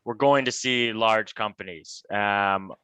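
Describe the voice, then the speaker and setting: A man in his thirties from Michigan with an American accent, chatting as an interview guest, relaxed and halting with many restarts. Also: lowish voice, clear, resonant tone, dry recording. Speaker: guest, cannabis advisor. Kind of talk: casual interview talk.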